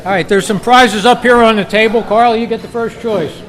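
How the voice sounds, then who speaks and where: A man's voice: sits high, steady tone, normal speed, a bit bright. A man hosting a quiz live at a club meeting, calling out to the members in an amateur recording.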